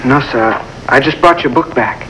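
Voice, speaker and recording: A man's voice: medium pitch, moderate pace, fairly bright tone, lightly acted. An actor playing an earnest, stubborn young country boy with a folksy, plain way of talking in a 1950s TV drama. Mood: shy, earnest. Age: teenager. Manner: folksy, plain-spoken, determined. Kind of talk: 1950s American television drama acting. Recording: noisy old 1950s television soundtrack.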